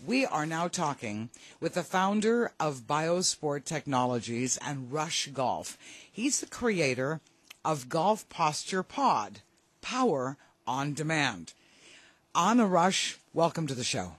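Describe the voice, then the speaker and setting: A woman's voice: low voice, very animated, takes it slowly, husky, no echo. Woman radio host with a lingering cold. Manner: informal, conversational. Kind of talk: chatty sports radio interviewing.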